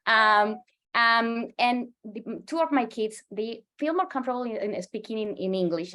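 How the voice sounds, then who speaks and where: A woman in her forties from Colombia with a Colombian Spanish accent, a native Spanish speaker giving an earnest presentation as a market researcher, often restarting and repeating words. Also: medium pitch. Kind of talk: presentation to a professional audience.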